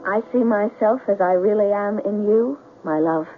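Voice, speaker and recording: A young sweetheart acted by a woman speaks tenderly and deliberately, reassuring with a light tease. Her voice sits at a medium pitch. Music plays clearly behind her on a muffled vintage radio drama recording. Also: lightly acted. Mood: tender, coaxing. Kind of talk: 1970s radio drama acting.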